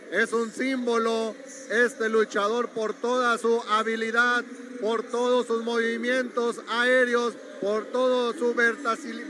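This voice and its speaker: A man calls a live wrestling card from a gym arena, his voice pitched very high. He keeps pushing viewers to share and comment. Arena noise sits clearly under him. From Mexico. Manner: promotional, urging viewers to share. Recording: noisy arena.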